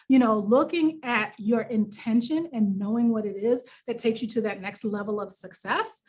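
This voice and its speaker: A woman's voice: a little high, breathy edge. A woman with a New York accent presenting a workshop in a conversational, encouraging, explanatory way, leaning on key words for emphasis. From New York, United States. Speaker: workshop presenter, business coach.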